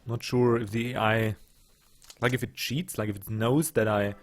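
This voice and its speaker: A man's voice: lowish voice, little pitch movement, moderate pace. A young man, not a native English speaker, casually commenting on his strategy game as he plays, thinking aloud and joking with his units. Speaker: let's play host.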